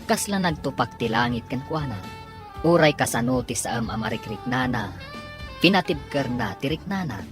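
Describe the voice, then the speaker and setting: A man's voice: mid-range voice, expressive, normal speed. Emphatic male radio drama announcer over music. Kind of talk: dramatic scripted radio narration.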